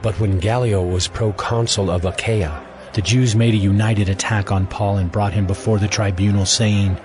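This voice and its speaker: A man narrates scripture for an audio Bible in a low voice. His delivery is measured and solemn, with faint music underneath. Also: steady tone.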